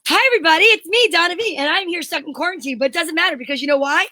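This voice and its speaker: Very high, bright-voiced woman doing an ad. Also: very fast, dry recording, clean studio sound. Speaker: show performer doing a mock commercial. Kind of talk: playful, salesy ad read.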